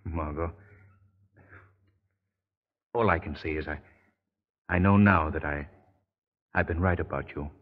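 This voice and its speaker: An actor playing an earnest, protective gentleman hero with an American accent, acted dramatically in 1930s radio style. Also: rumbling low, unhurried, pauses often. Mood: grave, tender. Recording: muffled old radio recording with hall reverb.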